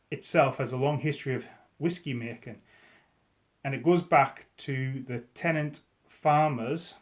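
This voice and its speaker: A middle-aged Scottish man with a Scottish accent, chatting casually to camera about whisky as a vlogger, easygoing, with frequent restarts. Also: medium pitch. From Scotland.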